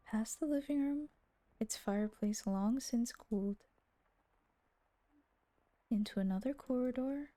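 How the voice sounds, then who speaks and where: Mellow-voiced woman streaming a story game. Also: mid-range voice, dry recording. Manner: playful, exclaiming asides. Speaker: streamer voicing a visual novel. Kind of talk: livestream voice-through with casual reactions and dramatic reading.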